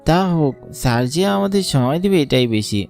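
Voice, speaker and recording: A man's voice: on the high side, lively intonation, fairly quick, vocal fry, darkish tone. A man voicing a hesitant, deferential village schoolboy in a horror cartoon. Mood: uneasy. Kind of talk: dubbed horror cartoon dialogue. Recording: music under the voice.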